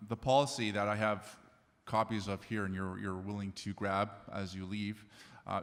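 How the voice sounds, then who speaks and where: Union rep man at a conference mic, low voice, easygoing with fillers, hall echo. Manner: relaxed, joking, with "um" fillers. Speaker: union representative presenting. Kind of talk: conference presentation. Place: conference room. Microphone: room microphone.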